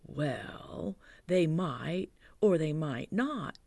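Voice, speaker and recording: Reader doing a mild, dreamy storybook bear: breathy hush, slow and gravelly. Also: mid-range voice, animated, no room sound, exaggerated voice. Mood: pondering. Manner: soft breathy half-whisper, slow and thoughtful. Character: gentle slow-witted male toy bear.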